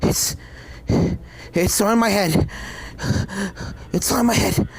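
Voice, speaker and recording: A woman voicing a cruel, unhinged female unicorn villain with a raspy, sneering, taunting delivery. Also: medium pitch, slow, pitched above the performer's own voice. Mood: crazed, startled.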